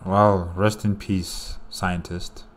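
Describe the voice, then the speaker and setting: Slow, low-voiced man reacting casually. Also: little pitch movement, soft background music. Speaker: reaction video host. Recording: clear background noise.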